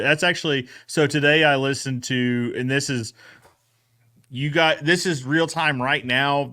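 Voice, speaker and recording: A man's voice: on the high side, bright, forceful tone. A man hosting a podcast recap in a casual, enthusiastic chatting style. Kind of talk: casual chat.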